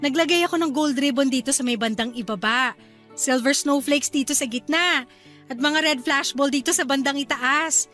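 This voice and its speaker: A woman playing a cheerful young wife and new mother in a radio drama, talking in a bright, chatty and eager way. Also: sits high, normal speed, faint music behind.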